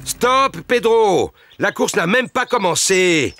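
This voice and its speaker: A man's voice: high voice, very animated, slow pace, sharp tone, heavily acted. A man playing a jovial, playful daddy pig in a children's cartoon dub, exclaiming expressively. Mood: commanding. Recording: music bed behind the voice.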